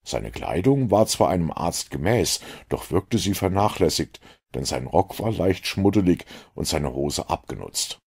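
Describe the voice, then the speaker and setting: A man's voice: low-pitched, very animated, measured pace, creaky, hardly any pauses, a little mellow, slightly rough. A man reading classic fiction as a scripted audiobook narration.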